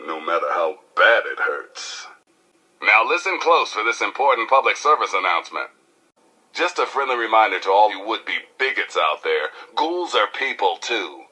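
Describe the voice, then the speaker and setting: A showy American wasteland radio DJ for a video game. His voice is husky yet very forceful, and it swoops widely as he delivers folksy, irreverent mock news and punchy patter. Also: mid-range voice, heavily acted. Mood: exuberant, showy. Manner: theatrical, wisecracking, folksy, drawn-out and punchy. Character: flamboyant, irreverent male post-apocalyptic radio DJ. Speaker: radio DJ and newsreader.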